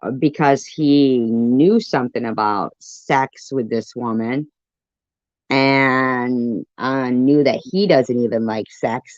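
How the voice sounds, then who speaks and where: A woman hosts a casual live stream commentary. She talks slowly and deliberately, often repeating herself. The sound is dull and lo-fi, with very little room to it. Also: rumbling low, no echo.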